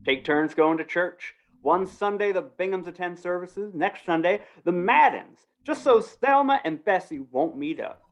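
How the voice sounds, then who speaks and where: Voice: mid-range voice, expressive, measured pace, few pauses. An actor playing a gossipy small-town undertaker and justice in an old-time western radio drama, with drawling rural talk. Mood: eager gossip.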